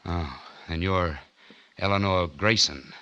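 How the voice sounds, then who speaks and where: A man's voice: low voice, slow, pushed and bright, muffled recording, heavily acted. An actor playing a wary, world-weary man on the run in a 1940s radio noir, with clipped, terse lines. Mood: guarded, probing. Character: wary hard-boiled man on the run, posing as detective. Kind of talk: 1940s radio noir first-person narration and acting. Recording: 1940s radio drama with music behind.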